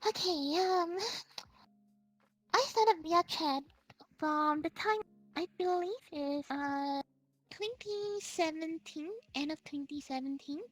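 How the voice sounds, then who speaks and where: A streamer guest with a Singaporean English accent, chatting excitedly and giggling through rambling stories in a casual interview. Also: high-pitched, slow pace, no echo, soft background music, poor audio.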